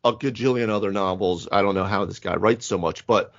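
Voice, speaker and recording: A man's voice: lowish voice, fairly quick. A man co-hosting a casual gaming podcast, rambling emphatically with plenty of hyperbole. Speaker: podcast co-host, game master. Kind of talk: casual tabletop gaming discussion. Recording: dull lo-fi audio.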